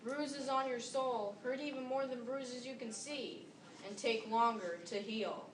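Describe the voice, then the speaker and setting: Female student performer, early teens, reciting from a distance over clear noise. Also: on the high side, a little slow. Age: young teenager. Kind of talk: readers theater recitation. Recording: echoey room, distant mic, background noise.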